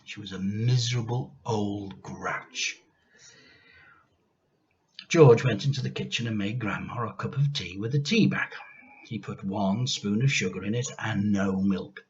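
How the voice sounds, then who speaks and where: Teacher guy reading a kids book out loud, really slow and expressive, bit muffled lo-fi sound. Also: fairly low pitch. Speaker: teacher reading aloud to pupils. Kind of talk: expressive children's storybook narration.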